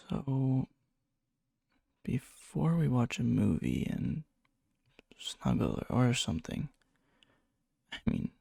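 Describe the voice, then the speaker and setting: A man playing a needy, lovesick young best friend in an ASMR roleplay, speaking softly and hesitantly with a breathy, often whispered murmur and nervous stammers. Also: mid-range voice, no echo, clean studio sound, heavily acted. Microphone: close microphone, dry.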